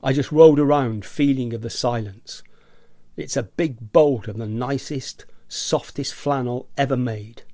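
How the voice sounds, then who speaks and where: A man's voice: mid-range voice, a little slow, mellow, no echo, clean studio sound, close to an everyday voice. A man acting an agitated, eccentric office worker in rebellion against gadgets, in a theatrical radio play monologue, savouring his words and mimicking nagging voices. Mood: dreamy, savouring.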